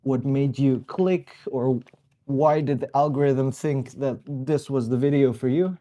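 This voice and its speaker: A man's voice: mid-range voice, steady tone, unhurried, warm tone. Sniffly man chatting on a livestream. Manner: chatty, greets viewers by name. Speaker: livestream host. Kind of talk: casual live-stream chat while working.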